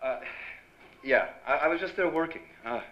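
An actor playing an evasive, slangy newspaper reporter in a noir radio drama. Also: male voice, on the high side, moderate pace, highs cut off, close to an everyday voice. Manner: hesitant, stammering when cornered, slangy. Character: evasive hardboiled male newspaper reporter, scotch-drinking night owl.

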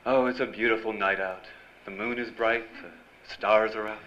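An actor playing an eager young reporter in a period Hollywood radio drama, speaking in casual wisecracking slang. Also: male voice, fairly low pitch, steady tone, moderate pace, a bit bright, slight background noise, close to an everyday voice. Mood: romantic. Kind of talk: radio drama acting with narration. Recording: dull lo-fi live radio-play recording, music behind.

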